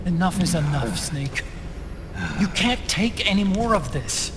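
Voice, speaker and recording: Worried game scientist, high-pitched, earnest, pleading, noisy vehicle ambience. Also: male voice, animated, a little slow. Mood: despairing. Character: anxious, despairing male scientist sidekick, earnest and pleading. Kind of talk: dramatic video game cutscene acting.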